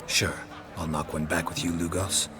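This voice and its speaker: An actor playing a gruff, world-weary monster hunter in a fantasy game, terse and dry-witted. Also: male voice, deep voice, moderate pace, music in the background, close to an everyday voice. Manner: terse, deadpan. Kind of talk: video game character acting.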